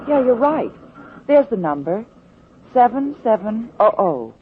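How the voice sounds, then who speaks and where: A slightly dull, hissy radio recording features a sassy American sidekick. She teases with jealous sarcasm in a lively, expressive voice. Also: a little high, measured pace. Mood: teasing. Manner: teasing, sarcastic. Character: sassy, jealous, sharp-tongued female detective's sidekick. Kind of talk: 1940s radio drama acting.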